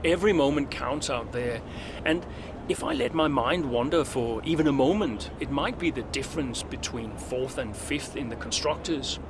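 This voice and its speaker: An actor playing a middle-aged, focused veteran racing driver near retirement, speaking in a measured, dry way in a video game. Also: male voice, mid-range voice, animated, background noise, close to an everyday voice. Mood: measured, determined. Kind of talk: cinematic game dialogue, interview answers.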